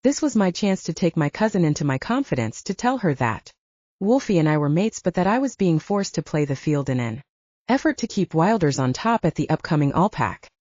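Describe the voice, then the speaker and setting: A woman narrates a story in a mellow voice that sits fairly low. Her intonation moves widely as she reads scripted first-person fiction. The recording is somewhat dull and muffled. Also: sing-song, a little fast, no echo. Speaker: audiobook narrator.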